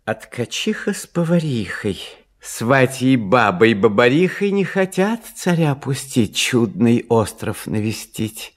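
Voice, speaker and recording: A man's voice: medium pitch, big pitch swings, takes it slowly. A man narrating a fairy tale in verse, in a theatrical, declamatory manner.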